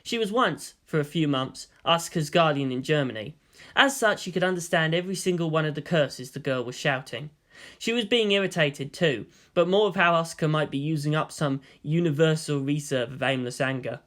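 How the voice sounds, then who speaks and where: Bright-voiced woman narrating a story. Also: low voice, fairly quick. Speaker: fan fiction narrator. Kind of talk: expressive audiobook-style story narration.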